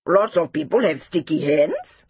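Storybook teacher, read by a woman: adult female, breathy husky tone, stern, sensible, authoritative. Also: deep voice, very animated, measured pace, a little mellow, dry recording, full character voice. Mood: reproving. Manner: reproving, authoritative.

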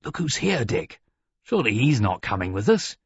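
A man's voice: fairly low pitch, expressive, normal speed, darkish tone, slightly rough, dry recording, dull audio. A man playing a wry young midshipman who speaks in an understated murmur, in an audiobook. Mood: wry, quiet.

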